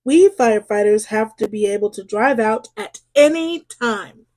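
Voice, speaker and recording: A woman's voice: on the high side, lively intonation, normal speed, darkish tone, voice pushed down, rough recording. A woman voicing a proud, fussy male fire chief from a picture book, speaking emphatically. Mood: firm, polite.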